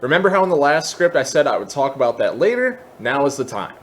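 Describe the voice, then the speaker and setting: A man hosting a software tutorial, explaining step by step in a methodical way. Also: fairly high pitch, very animated, fast, slight background noise. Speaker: tutorial host.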